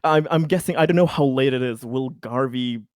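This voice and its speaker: A man playing a tabletop game, speaking casually in halting, tentative phrases. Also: medium pitch.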